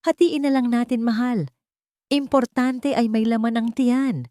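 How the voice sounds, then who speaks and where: A woman's voice: on the high side, steady tone, measured pace, mellow, no room sound, studio quality, voice pushed up, close to an everyday voice. Earnest, tender poor husband, voiced by a woman. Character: poor, caring husband and worried father. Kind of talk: audio story character dialogue.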